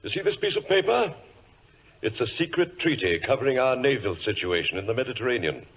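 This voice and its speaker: An actor playing a grave, commanding senior government minister in a 1940s radio drama, solemn and confidential, with a husky voice. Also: male voice, medium pitch, sing-song, brisk, a little hiss or hum. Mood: solemn, secretive. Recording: muffled vintage radio recording.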